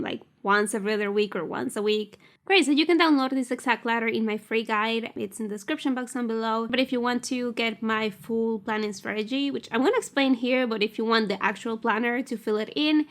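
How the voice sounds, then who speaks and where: Casting: woman, bright higher-pitched voice, candid vlogger, chatty with casual fillers. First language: Spanish. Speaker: language-learning vlogger. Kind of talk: casual explanatory vlog.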